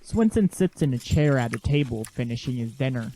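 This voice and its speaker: A man narrates an audio drama from a script. His voice sits in the middle of the male range and has a mellow, dark tone. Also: medium pitch, expressive, a little fast, low-quality recording.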